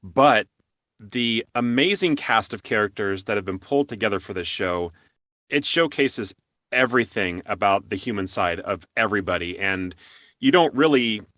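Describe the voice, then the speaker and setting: A man with a bright, forceful voice set slightly low hosts a casual interview. He talks informally and at length, working out his thoughts as he goes. The recording is dry and close. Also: animated, moderate pace, dry recording. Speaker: interview host. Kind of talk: casual conversational interview hosting.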